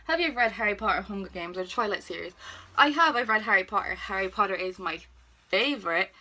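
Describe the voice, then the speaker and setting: A woman's voice: medium pitch, animated, fast, pushed and bright. A woman aged twenty-one with an Irish accent, chatting casually and informally as she answers questions for a vlog. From Ireland. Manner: chatty, informal, self-deprecating, exclamatory. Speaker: vlogger. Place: indoors at home, sitting on the floor.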